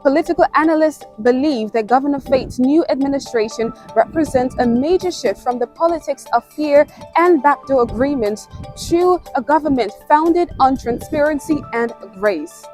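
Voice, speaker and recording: An actress playing a news reporter delivering a bulletin. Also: female voice, high voice, normal speed, a little mellow, soft background music, close to an everyday voice. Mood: neutral.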